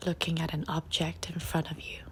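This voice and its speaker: A woman leading a guided meditation, giving soft, breathy, gentle instructions with long pauses between short phrases. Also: sits low, monotone, in a whisper. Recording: faint outdoor nature ambience. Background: faint nature ambience.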